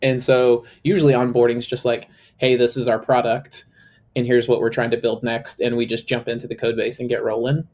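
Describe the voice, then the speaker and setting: A man's voice: completely flat delivery, fairly quick, a little mellow. Male co-host, a little nasal, loose filler-heavy casual chat. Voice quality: slightly high, a little nasal. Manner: chatty, filler-heavy, lots of "like".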